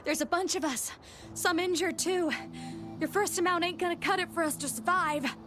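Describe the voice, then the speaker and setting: An actress voicing a brazen, needy exile turned thief in a video game, with a pushy, slangy way of talking. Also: female voice, very high voice, steady tone, normal speed, over music. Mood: brazen, pleading.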